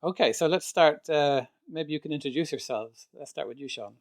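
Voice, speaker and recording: A man's voice: a little high, brisk. A man interviewing two guests, asking plain, polite questions.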